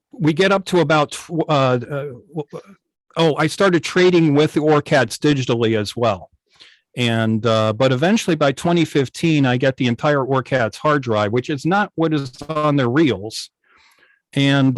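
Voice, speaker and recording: An older man with an American accent telling stories informally on a video call, halting and often pausing mid-thought. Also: medium pitch, dry recording. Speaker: guest, old-time radio station operator. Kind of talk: informal storytelling in an online club meeting.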